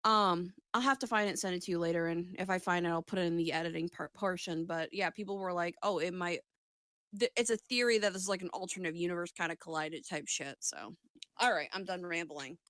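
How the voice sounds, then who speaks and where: A woman's voice: on the low side, a little fast, a bit bright, no echo, clean studio sound. A woman co-hosting a casual gaming chat show, talking in a rambling, sweary way and often interrupting herself.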